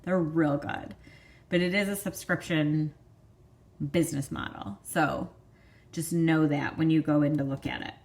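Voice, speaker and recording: Woman, Michigan accent, thirties, fairly low voice, rambling casual vlog chatter. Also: steady tone, a little slow, a little mellow. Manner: chatty, rambling, many fillers. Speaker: vlog host. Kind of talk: casual chat.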